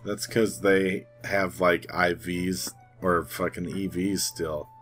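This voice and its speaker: A man's voice: low-pitched, level tone, slow pace, fairly bright tone, faint music behind. A young man co-hosting a gameplay video with casual, crude wisecracks. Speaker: gaming video co-host. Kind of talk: casual joking gameplay commentary.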